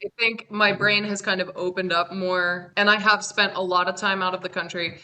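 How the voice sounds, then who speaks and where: A woman's voice: medium pitch, level tone, normal speed, bright, forceful tone, poor audio. A young woman, a guest on a podcast, chatting in a friendly, rambling way that trails off. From United States. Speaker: guest, 3D designer. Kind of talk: casual interview chat.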